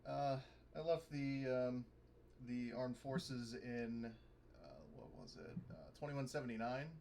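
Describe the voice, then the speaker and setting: A man's voice: medium pitch, unhurried, vocal fry, warm tone. A man casually improvising narration in a tabletop roleplaying game, tentative and meandering in his delivery. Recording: faint background noise.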